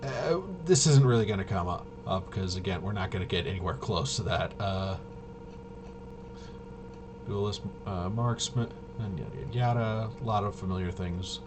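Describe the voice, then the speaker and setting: A man with a fairly low voice talks casually through game rules as a reviewer. He is halting and mumbles as he half-reads. The recording has clear noise behind him. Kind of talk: casual off-the-cuff rules walkthrough. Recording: clearly noisy home recording.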